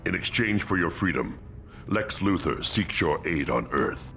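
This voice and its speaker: A male actor playing a gruff, commanding comic-book supervillain in a video game cutscene, with a curt manner. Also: sits low, expressive, a little slow, fairly bright tone, faint music behind, exaggerated voice. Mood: businesslike.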